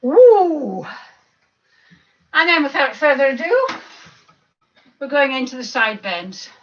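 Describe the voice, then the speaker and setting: A woman over fifty with a croaky voice, leading a seated exercise class, counting reps and adding chatty asides. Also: high-pitched, very animated, takes it slowly. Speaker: fitness instructor. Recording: distant mic, dull lo-fi sound.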